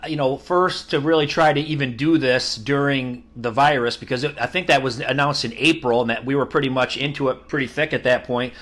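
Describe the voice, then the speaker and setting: Joking podcast host, somewhat high voice. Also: male voice, fairly bright tone. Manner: joking, upbeat, laughs between lines. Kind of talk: casual interview with jokes.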